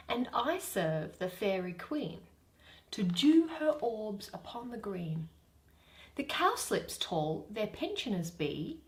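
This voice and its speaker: A woman performing a dainty wandering fairy in lilting, theatrical verse. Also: mid-range voice. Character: dainty wandering female fairy serving the fairy queen.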